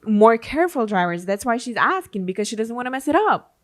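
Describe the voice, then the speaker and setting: A woman's voice: on the high side, big pitch swings, brisk. A woman co-hosting a reaction video, frank and plain-spoken in casual chat. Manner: plain-spoken, frank, picks up his points. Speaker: co-host, host's girlfriend.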